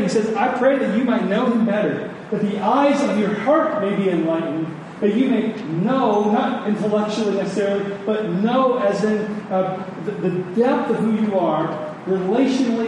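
Male preacher, earnest sermon delivery with repeated words for emphasis; very echoey room, clear background noise. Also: high-pitched. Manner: earnest, emphatic with repetition for stress. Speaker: pastor preaching to his congregation. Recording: echoey hall, distant mic, noisy background.

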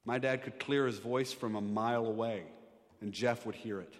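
A male pastor preaching a sermon, telling stories and building up to forceful emphasis. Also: medium pitch, moderate pace. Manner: animated preaching, rising and louder on emphatic points; sings hymn lines softly. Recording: long reverb of a large hall.

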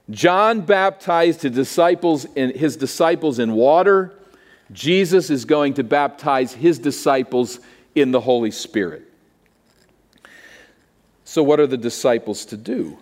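A man preaching an expository sermon in deliberate, emphatic phrases with explanatory asides, recorded in a large reverberant hall. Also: on the high side.

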